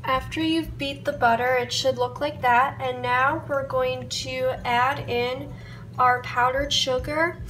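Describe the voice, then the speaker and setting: A woman's voice: high-pitched, steady tone, slow pace, a bit bright, a little hiss or hum, poor audio. A young woman who is sick with a cold, giving a casual baking tutorial in a home kitchen. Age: young adult. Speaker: baking vlogger. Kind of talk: casual recipe tutorial narration.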